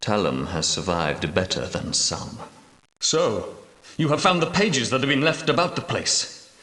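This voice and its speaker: An actor playing an ancient, solemn leader of holy knights in a video game, speaking gravely and formally, with portentous weight. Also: male voice, mid-range voice, lively intonation, a little slow, bright, forceful tone. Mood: measured, regretful. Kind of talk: video game dramatic dialogue. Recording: roomy echo, distant from mic.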